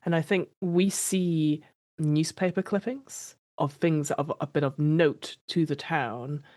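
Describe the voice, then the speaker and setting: A woman's voice: low-pitched, steady tone, unhurried, dark tone. A woman playing a tabletop roleplaying game, casually improvising descriptions of the scene.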